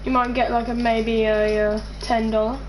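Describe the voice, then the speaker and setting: High-pitched hesitant woman, noisy mix. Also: level tone, moderate pace, darkish tone. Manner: hesitant, full of fillers and restarts. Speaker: co-host asking questions. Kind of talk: casual chat.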